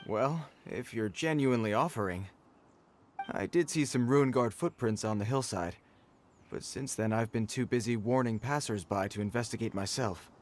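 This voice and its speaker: An actor playing a dutiful male soldier in a video game, speaking in a formal, measured and earnest way. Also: mid-range voice, slight background noise, lightly acted. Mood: earnest, polite. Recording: game music under the voice.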